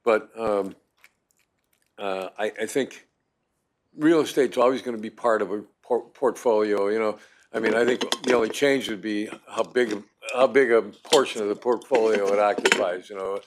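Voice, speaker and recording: A man making informal remarks at a committee meeting, halting and rambling, often repeating words as he goes. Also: on the low side. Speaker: committee member.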